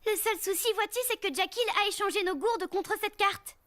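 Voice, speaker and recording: An extremely high, piercing young cartoon sidekick. The character sounds wary and sceptical, the doubter at a hero's side. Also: female voice, a little fast, fairly bright tone. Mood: wary, exasperated. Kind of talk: animated cartoon dub acting.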